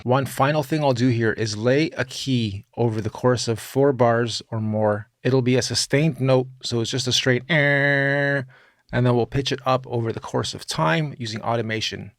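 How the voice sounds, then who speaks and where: A man narrating a software tutorial in an informal, opinionated way, like a music production teacher talking through his steps. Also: mid-range voice, moderate pace, no room sound, clean studio sound.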